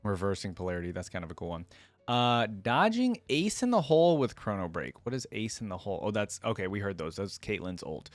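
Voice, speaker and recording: A man's voice: lowish voice, sing-song, fairly quick, dry recording. A man hosting a reaction video, giving casual off-the-cuff commentary as he goes.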